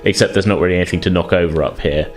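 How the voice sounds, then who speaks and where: Low-voiced man running a tabletop game. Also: fairly even intonation, rapid-fire, soft background music. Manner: hesitant, self-correcting, conversational. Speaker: game master. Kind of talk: improvised tabletop game narration.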